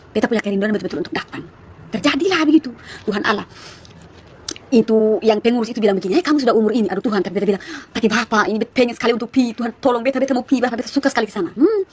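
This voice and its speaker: A woman of about thirty from East Nusa Tenggara, speaking with its regional Malay accent, chatting to viewers on a phone livestream and telling her story in rapid, chatty bursts full of asides. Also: fairly high pitch, darkish tone, slightly rough. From East Nusa Tenggara, Indonesia. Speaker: live streamer. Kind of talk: casual live-stream testimony to viewers.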